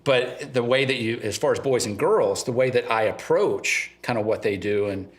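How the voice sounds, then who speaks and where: A middle-aged man with a light Ohio drawl that leans a little Southern. He sits at a medium pitch and speaks as an interview guest, plain-spoken and earnest in a conversational way. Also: normal speed. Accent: slight Southern-tinged eastern Ohio. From eastern Ohio, USA. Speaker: guest, father of three.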